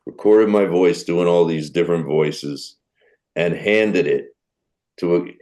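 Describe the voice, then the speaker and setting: Man, middle-aged, very deep voice, comedian guest, lively storyteller, podcast interview. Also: slow pace. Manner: animated storyteller who acts out quoted people.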